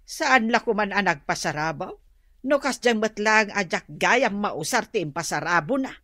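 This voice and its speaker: An actress playing a complaining middle-aged auntie in an Ilocano radio comedy-drama, with an Ilocano accent and drawn-out exclamations. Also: female voice, medium pitch, animated, a bit bright, no room sound, studio quality, lightly acted. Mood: grumbling. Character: complaining older auntie, grumbling and ungrateful, later pleading.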